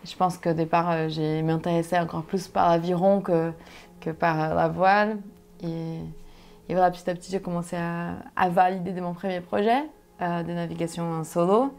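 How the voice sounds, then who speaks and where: A young woman from Brazil, a native Portuguese speaker with a Brazilian accent, telling her story conversationally and haltingly as she searches for words. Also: lowish voice, steady tone, darkish tone. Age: young adult. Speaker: interview guest, solo sailor.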